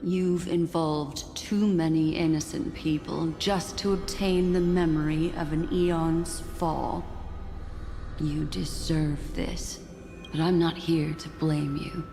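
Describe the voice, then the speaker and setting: Casting: coolly judging female investigator, video game; slow, measured, composed, music underneath. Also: low-pitched, fairly even intonation, vocal fry, warm tone, full character voice. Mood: stern. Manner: measured, solemn, formal. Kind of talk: dramatic video game acting. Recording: reverberant hall, music behind.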